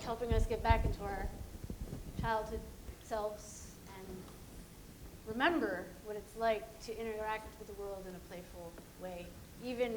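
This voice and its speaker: A woman's voice: a little high, background noise. A woman moderating a live event, introducing the speakers in an upbeat, conversational way. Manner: upbeat, conversational, some fillers.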